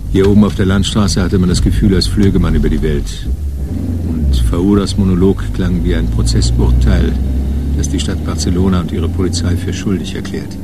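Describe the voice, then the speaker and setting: An actor narrating a hard-boiled radio crime story. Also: male voice, sits low, noisy background, close to an everyday voice.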